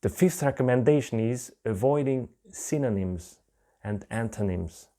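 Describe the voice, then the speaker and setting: A middle-aged man from Hungary with a Hungarian accent, giving a measured conference talk over slides from a webcam at home. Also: mid-range voice, lively intonation, dark tone. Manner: careful, measured non-native phrasing. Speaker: conference presenter.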